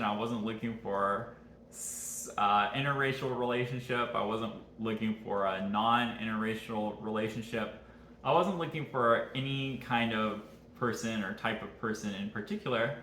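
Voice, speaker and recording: Casting: Californian American man, mid-pitched bright voice, casual vlog co-host, recorded in an echoey room. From Southern California, United States. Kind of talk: casual chat with partner. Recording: roomy echo, background noise.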